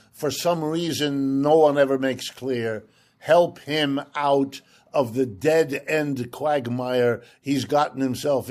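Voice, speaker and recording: A man whose first language is German, from the United States, answering interview questions in a measured, explanatory way with pointed emphasis. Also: medium pitch, a little mellow. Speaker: guest expert.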